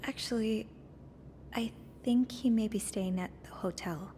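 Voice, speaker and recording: An actress playing a curious, evasive young hotel maid in a mystery game, speaking in an earnest, hesitant way. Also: female voice, a little high, unhurried, mellow, slight background noise, natural voice. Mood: earnest, insistent. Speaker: video game character. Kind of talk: narrative mystery game voice acting.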